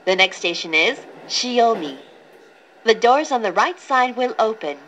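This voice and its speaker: Slow, formal woman train announcer. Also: a little high, animated, fairly bright tone, low-quality recording. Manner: formal, clearly enunciated. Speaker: English-language train announcer. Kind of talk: scripted recorded train announcement. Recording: recorded aboard a train, clear background noise.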